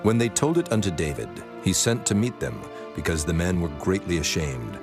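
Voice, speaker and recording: Deep voiced guy narrating scripture, solemn but moves around in pitch a lot, music underneath. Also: very animated, normal speed.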